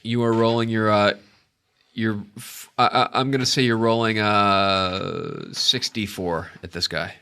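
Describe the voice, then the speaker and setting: Congested male game master, bright low voice, halting and full of fillers. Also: fairly even intonation, clean tone. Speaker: dungeon master. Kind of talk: narrating a tabletop game.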